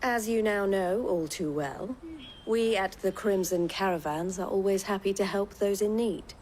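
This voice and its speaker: An actress playing an assured, shrewd woman who leads a trading caravan in a fantasy game, speaking in formal, gently wry, measured phrases. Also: mid-range voice, slight background noise, close to an everyday voice, poor audio. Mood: assured, persuasive. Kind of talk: scripted fantasy game voice acting. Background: background music.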